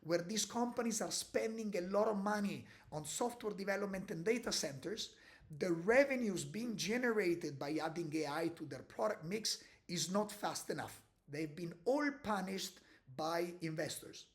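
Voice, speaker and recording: A man's voice: high voice, moderate pace. A man co-hosting a podcast, giving casual commentary on financial markets and explaining in a conversational way. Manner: conversational, explains with rhetorical tags. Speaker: podcast co-host.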